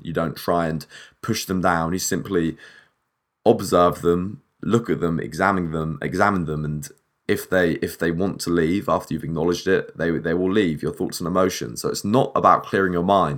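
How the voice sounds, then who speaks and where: A young man with a husky, deep voice, hosting a solo podcast and rambling with restarts, a bit dehydrated. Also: fairly bright tone. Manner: rambling, repeats and restarts words. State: a bit dehydrated and short on sleep. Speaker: podcast host.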